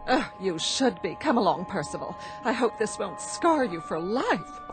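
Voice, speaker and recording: A woman's voice: mid-range voice, very animated, normal speed, over music, close to an everyday voice, poor audio. Woman playing a haughty, swooping-voiced mother. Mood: indignant. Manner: scolding, emphatic. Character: indignant, haughty, protective mother of a small boy. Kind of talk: radio drama acting.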